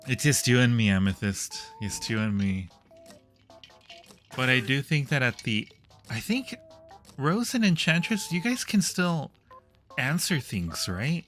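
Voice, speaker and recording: A man's voice: mid-range voice, sing-song, a little slow, pauses often, fairly bright tone. A man hosting a casual gaming livestream, chatting and reacting as he plays along with viewers. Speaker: livestream host. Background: game music.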